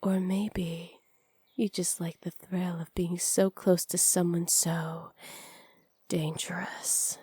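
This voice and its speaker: A woman playing a seductive, teasing witch in an intimate ASMR roleplay, sly and possessive, often slipping into breathy whispers close to the microphone. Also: fairly low pitch, little pitch movement, mellow, no room sound, heavily acted. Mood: sly, probing. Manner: slow, breathy, often whispered, flirtatious purr. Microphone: close studio microphone.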